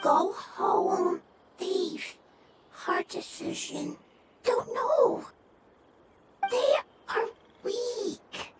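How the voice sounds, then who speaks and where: A small, timid forest creature from a game, speaking in slow, broken phrases. Its voice is very high and a little distant. Loud music plays behind. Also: female voice, lively intonation, heavy background noise, full character voice. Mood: worried. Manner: halting, simple broken phrases.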